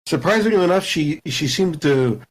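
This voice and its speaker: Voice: mid-range voice, normal speed, low-quality recording. A tabletop game player describing their character in a casual, playful way. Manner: animated, playful.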